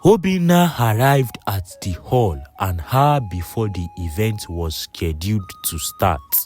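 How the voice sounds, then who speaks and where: Male narrator for folktale storybook. Low voice, slow pace, light music underneath. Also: lively intonation, a little mellow.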